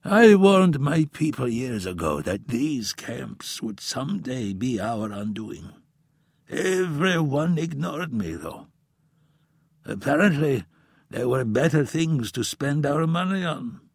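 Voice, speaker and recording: A frail old professor, voiced by a man reading an audiobook, speaks in a raspy, unsteady voice that sits higher than the reader's own. He talks slowly and gravely, with weary bitterness. The sound is husky and dry, close to the mic. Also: big pitch swings, no room sound, heavily acted. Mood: bitter. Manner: slow, weary, impassioned. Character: frail elderly male professor, embittered and grave. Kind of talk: audiobook character voice.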